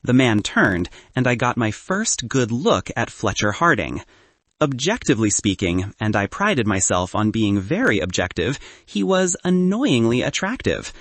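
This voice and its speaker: A man reading a scripted first-person story as an audiobook narrator, with an expressive, wry delivery. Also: mid-range voice, a little slow, dry recording.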